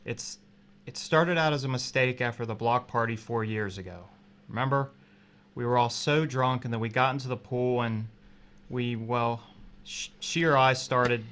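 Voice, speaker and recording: A man playing a guilty, frightened coworker in an audio drama, speaking in a halting, emotional way. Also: mid-range voice, a bit bright, a little hiss or hum, close to an everyday voice. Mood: ashamed confessing. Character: guilty, frightened adulterous coworker, pleading then outraged.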